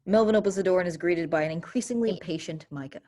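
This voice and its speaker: A woman's voice: lowish voice, fast, warm tone. A woman reading stage directions as scripted narration for a play.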